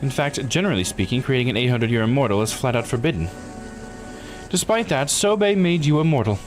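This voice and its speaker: A blunt, composed officer of the law, voiced by a man reading a visual novel, lays out grim facts formally. The intonation is very expressive, and music plays underneath. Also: mid-range voice, lightly acted. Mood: measured. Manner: measured, formal, matter-of-fact explaining.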